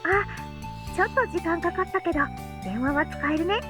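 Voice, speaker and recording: A friendly anime girl with an extremely high, youthful voice. She speaks briskly and casually, in an easygoing visual novel style, with music playing over her. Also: animated. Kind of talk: Japanese visual novel voice acting. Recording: game audio with music over it.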